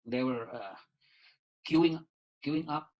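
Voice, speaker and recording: A Filipino-accented man in his sixties talks through a personal testimonial at a middle pitch. He speaks in a halting way, filling pauses with "uh" and repeating words. He often adds "you see" as he goes. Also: lo-fi sound. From Philippines. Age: 60s. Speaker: doctor and lawyer giving a product testimonial. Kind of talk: casual spoken testimonial.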